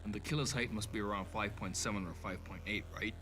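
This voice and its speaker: A man playing a brooding police inspector with a dark secret in an amateur audio drama, talking tersely. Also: on the low side, fast talker, a little hiss or hum. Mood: casual.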